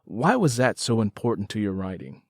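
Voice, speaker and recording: A man's voice: fairly low pitch, very animated, brisk, slightly rough, dry recording, clean studio sound. A man hosting a casual interview, enthusiastic and emphatic, often repeating his phrases. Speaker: podcast host interviewing an author.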